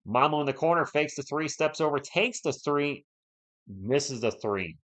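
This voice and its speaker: A man's voice: fairly high pitch, lively intonation, unhurried, fairly bright tone. A man with a sore throat, losing his voice, doing casual live play-by-play of a basketball game while chatting with viewers. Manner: chatty, reads and answers viewer chat between plays. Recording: dull lo-fi stream audio.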